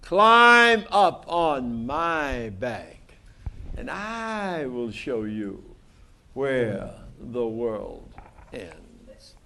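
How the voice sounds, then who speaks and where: A man's voice: mid-range voice, very animated, drawn-out words, gravelly, pushed and bright, slight background noise, voice pushed down, exaggerated voice. A man voicing a proud horse in a children's storybook, sure of itself and drawing out its declarations.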